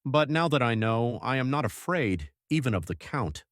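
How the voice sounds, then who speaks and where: A man narrating an audiobook as an earnest young husband reading out his journal in a measured, literary way. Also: medium pitch, big pitch swings, no room sound, clean studio sound, lightly acted. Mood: reflective, resolute.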